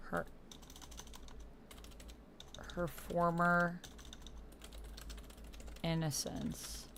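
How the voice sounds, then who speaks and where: A woman livestreaming a text-based roleplaying game, reading narration aloud and chatting casually with slangy, excitable reactions. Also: lowish voice, very slow, slight background noise.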